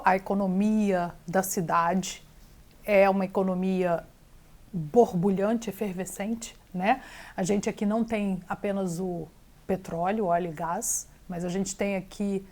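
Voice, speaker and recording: A woman's voice: medium pitch, fairly even intonation, a little slow, a little mellow. A Brazilian woman, a real estate agent, chatting in an interview with a Brazilian accent and often mixing English words into her Portuguese. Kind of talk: casual interview chat.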